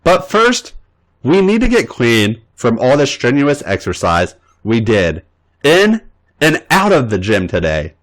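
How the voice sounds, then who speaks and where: A man voicing a feisty, playful young woman wrestler in a read-aloud story, pitching his voice up to tease. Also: very animated, measured pace.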